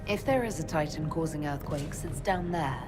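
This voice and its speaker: A composed, low-voiced fantasy leader. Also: female voice, animated, moderate pace. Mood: thoughtful, curious. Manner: composed, questioning. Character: inquisitive, diplomatic female Inquisitor, composed fantasy leader. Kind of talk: video game cutscene dialogue. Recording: game music behind the voice. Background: music.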